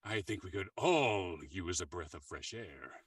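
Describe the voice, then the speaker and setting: Man playing a distant, low-voiced radio announcer. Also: animated, a little slow, very noisy. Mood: patient. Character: polite, long-suffering old-time radio announcer.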